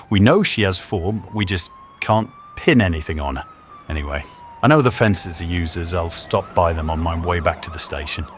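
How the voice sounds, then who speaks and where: An actor playing a laid-back junior British police detective in an audio drama, talking in a casual, colloquial, offhand way. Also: male voice, deep voice, animated, normal speed, slight background noise. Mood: offhand, wry.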